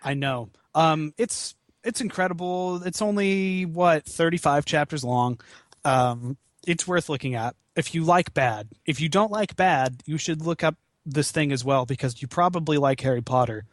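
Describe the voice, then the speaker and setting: A man's voice: on the high side, moderate pace, dry recording, clean studio sound. A man chatting casually as a podcast guest, with a hesitant, wry, self-deprecating manner. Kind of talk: casual chat, comic read-aloud of fan fiction.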